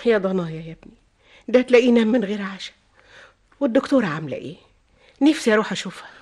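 An actress playing an older, gentle Egyptian nanny with a motherly manner. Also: medium pitch, animated, rapid-fire, natural voice. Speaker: housekeeper nanny.

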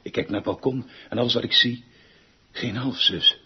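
A man's voice: medium pitch, moderate pace, slightly rough. A man in a radio drama playing a pompous, obsessive music director who declaims grandly and repeats words for emphasis. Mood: wistful, brooding. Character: pompous, obsessive, theatrical male music director, haunted by lost half-sister. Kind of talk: theatrical radio drama acting. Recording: dull lo-fi radio recording with music.

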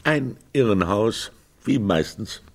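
A man's voice: lowish voice, animated, slow pace, vocal fry, exaggerated voice. An actor playing a brusque, sceptical man with a dry, pedantic manner in a naturalistic radio drama. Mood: dry, impatient. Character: brusque, sceptical man fretting over a decaying family house.

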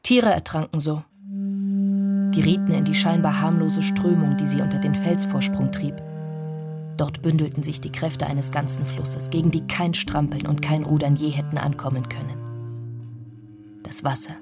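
An actor playing a somber villager who narrates a radio drama in a measured, brooding way. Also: mid-range voice, little pitch movement, close to an everyday voice. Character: somber village narrator recalling an old tragedy. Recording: music bed under the voice. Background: music.

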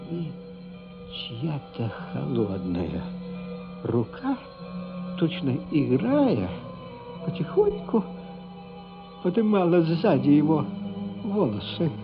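A man narrating a literary radio play in a measured, suspenseful, dramatic storytelling manner. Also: a little high, sing-song. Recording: dull, band-limited radio play recording. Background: music.

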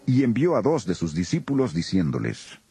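A man's voice: mid-range voice, sing-song, normal speed, creaky, dark tone, breathy edge, no room sound, soft background music. A man narrating a dramatized scripture recording as a solemn storyteller.

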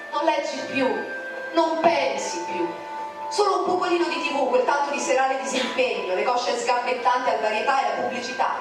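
A woman narrator reciting a poem in a declamatory, indignant manner. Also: high-pitched. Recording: very echoey, distant, music behind.

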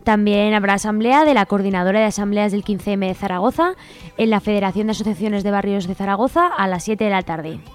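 A woman's voice: medium pitch, brisk. A woman with an accent from Spain presenting a radio events listing, read out as announcements. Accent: Spanish from Spain. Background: music bed.

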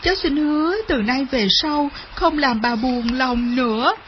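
A woman's voice: on the high side, animated, takes it slowly, dull audio, slight background noise. A woman voicing a young boy in a children's tale, pleading and tearful with remorse. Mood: earnest pleading.

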